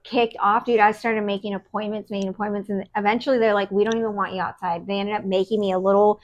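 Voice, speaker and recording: A woman in her early thirties, a podcast guest, telling career stories in a lively, profane way and acting out what people said. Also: medium pitch, fast, lo-fi sound. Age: 30s. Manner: animated, profane, acts out quoted dialogue. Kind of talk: casual storytelling.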